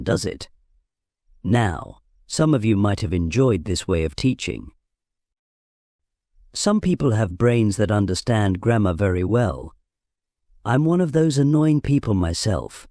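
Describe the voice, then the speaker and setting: Low-voiced male teacher reading a scripted lesson, calm and explanatory, dry close recording. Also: no room sound. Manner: calm, explains and defines words. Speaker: language teacher. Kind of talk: scripted podcast narration.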